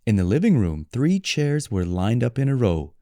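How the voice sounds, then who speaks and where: A man reading a children's story as a storyteller, with deliberate, expressive delivery. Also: medium pitch, dry recording, clean studio sound. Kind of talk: scripted children's story narration for English learners.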